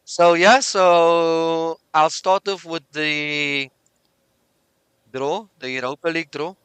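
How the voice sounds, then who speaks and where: A man hosting a sports podcast, his voice very smooth and resonant in a dry, close-miked sound. He talks in a relaxed, chatty way and thinks aloud as he goes. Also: a little high, slow pace, dry recording. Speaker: podcast host. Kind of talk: casual sports podcast chat.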